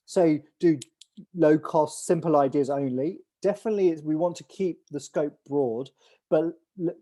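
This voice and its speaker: A man's voice: on the high side, dark tone. A man hosting an online call, briefing on a competition in a rambling way, with restarts and fillers. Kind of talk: casual briefing on a video call.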